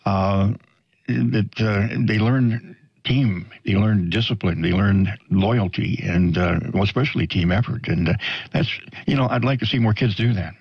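A man with an American accent hosting a casual radio interview in a halting, rambling, folksy way, on dull audio. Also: on the low side, slightly rough, no room sound. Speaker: radio host.

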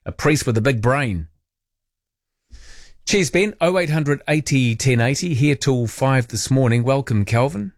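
Male late-night radio host, New Zealand accent. Colloquial, wry phone-in chat, medium pitch, very close dry sound. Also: lively intonation, a little slow, no room sound.

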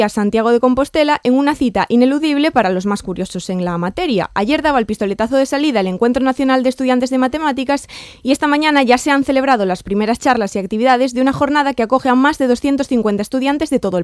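A woman reporter reads her news package at a fast clip. Her voice sits a little high and sounds dry and close, with almost no room around it. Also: smooth, no room sound. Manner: brisk scripted delivery. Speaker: field reporter.

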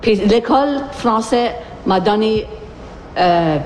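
English-speaking woman's halting French, echoey hall. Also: mid-range voice, lively intonation, darkish tone. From Ontario, Canada. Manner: halting second-language French with English words. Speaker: parent at a community discussion. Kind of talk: casual personal account. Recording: echoey hall, faint background noise.

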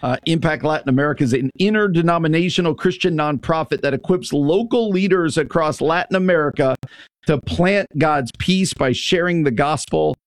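A man's voice: on the high side, moderate pace, no echo, clean studio sound, soft background music. A man hosting a radio show, talking conversationally as he interviews a guest and appeals for donations. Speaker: radio host.

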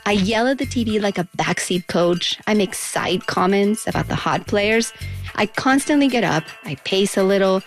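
A woman narrates a scripted personal essay in a reflective, intimate tone. Her voice sits at a middle pitch. Faint music plays softly underneath. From United States. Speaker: narrator and reporter.

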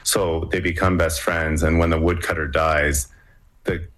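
A man's voice: low-pitched, little pitch movement, unhurried, a bit bright. A young man from Hong Kong, a musician, talking casually in an interview with many fillers and restarts. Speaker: musician guest.

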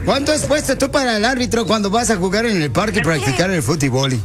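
A man's voice: sits high, animated, fast talker, over music. A man putting on a drawling, slangy soccer scout. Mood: teasing. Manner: drawling, hesitant, full of slang fillers. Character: pushy slangy male soccer scout, middle-aged.

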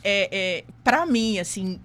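A woman's voice: medium pitch, lively intonation, slow pace, crowd noise. A woman from Piauí with a Northeastern Brazilian accent, speaking in a singsong, affectionate manner as a guest in a casual radio interview. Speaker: interview guest, mediator and professor.

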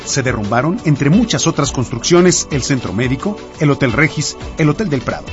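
A man's voice: mid-range voice, lively intonation, normal speed. A man giving formal, factual scripted narration for a historical radio segment. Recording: dull lo-fi broadcast, clear music behind. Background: clear background music.

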